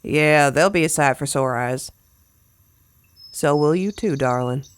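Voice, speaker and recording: An actress playing a gruff, kind-hearted, plain-spoken woman in an audio drama, brusque but affectionate. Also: low-pitched, measured pace. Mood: affectionate, wry. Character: gruff, folksy, kind-hearted tough woman, freshly injured.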